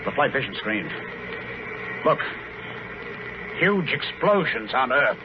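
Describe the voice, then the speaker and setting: Dramatic spaceship commander, old radio sound. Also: male voice, mid-range voice, very animated, background noise. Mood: horrified. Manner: dramatic, deliberate delivery. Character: resolute spaceship commander, first-person narrator of Mars voyage. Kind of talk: 1940s radio drama acting and narration. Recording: muffled old 1940s radio recording, music.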